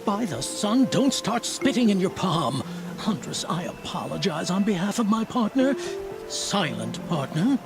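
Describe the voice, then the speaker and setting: Exasperated trader, game comedy banter. Slow, lively intonation, sardonic formality, music bed. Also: male voice, high voice, creaky, heavily acted. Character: exasperated, fussy male trader correcting his partner. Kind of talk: video game voice acting.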